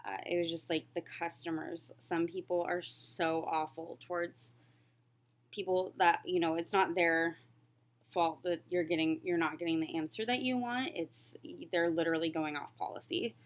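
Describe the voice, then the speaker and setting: Late 20s woman, kinda low voice, craft vlogger just chatting, rambly and candid. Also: steady tone, normal speed. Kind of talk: casual chat, answering questions while stitching.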